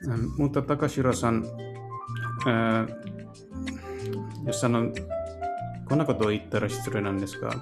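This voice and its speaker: A man's voice: mid-range voice, pauses often. A man hosting a gaming video, casually translating a visual novel aloud and searching for his words as he goes. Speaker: gaming channel host. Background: music.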